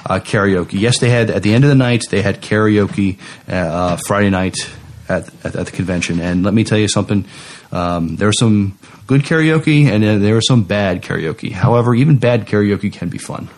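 A man co-hosting a podcast in casual chat, halting but enthusiastic as he talks. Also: lowish voice. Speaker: podcast co-host.

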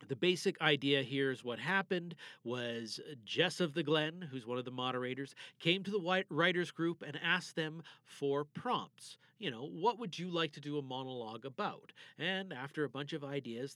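A man's voice: a little high, a bit bright, no room sound. A man hosting a podcast, making a casual, informal announcement in an enthusiastic, emphatic manner. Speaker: podcast host.

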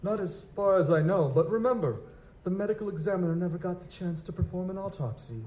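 A young nobleman, acted by a man, with a fairly high, mellow voice and stiff, formal phrasing. He is touchy and proper, a bereaved lord in a live audio drama. The sound is roomy and echoing, with some noise. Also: animated, fast. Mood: defensive, stiff. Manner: formal, stiff, aristocratic diction.

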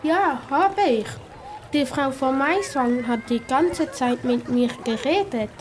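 A woman's voice: high voice, takes it slowly, crowd noise. A female performer playing a grumpy male pool lifeguard in a children's radio play. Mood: defensive.

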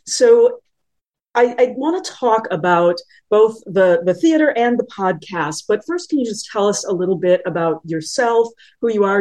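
A woman's voice: medium pitch, a little mellow. A woman podcast interviewer introducing and questioning a guest in a conversational way.

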